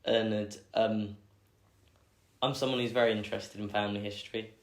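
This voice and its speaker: A young man, answering interview questions in a thoughtful, measured way with many pauses and fillers. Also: on the low side, flat delivery. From England. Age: young adult. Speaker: guest. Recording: echoey room.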